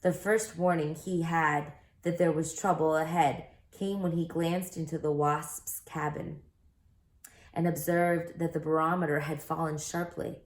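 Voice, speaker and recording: A woman reading a children's book aloud as an expressive storyteller, recorded in an echoey room. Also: sits low, a little slow.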